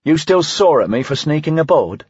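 Audiobook deputy, man acting: cheeky, loyal, informal, higher-pitched delivery with lively swings. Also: normal speed, husky, no echo, dull audio. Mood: cheeky, insistent. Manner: informal, wry, insistent. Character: brash, loyal male deputy officer, teasing and cheeky. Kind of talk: audiobook character dialogue.

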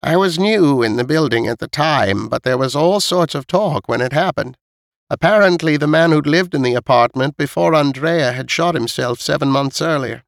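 Male narrator as a stern elderly woman, raised pitch, blunt, knowing, audiobook character, close dry sound. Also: normal speed, creaky, no room sound. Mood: grave, matter-of-fact.

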